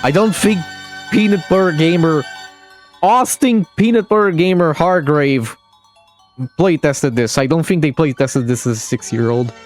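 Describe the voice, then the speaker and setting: A man's voice: on the high side, a little slow, faint music behind. A man giving casual live commentary on a game replay stream, chatting with viewers and laughing often.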